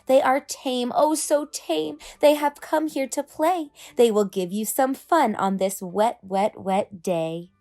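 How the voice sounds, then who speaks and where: A woman reads as a playful tomcat from a children's storybook. The voice is high, breezy and reassuring, a carefree showman who is never bothered. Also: slow, few pauses, dark tone. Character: playful, unbothered male cat showing off tricks. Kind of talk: children's storybook read-aloud.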